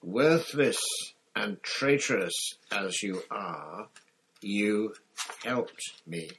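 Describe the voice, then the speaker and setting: A cold, commanding dark wizard from a fantasy audiobook, acted out by a male reader. He speaks very slowly and deliberately in a low voice, softly mocking and full of menace. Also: expressive, a little hiss or hum, exaggerated voice, low-quality recording. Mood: cold, menacing. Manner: soft-spoken, deliberate, menacing.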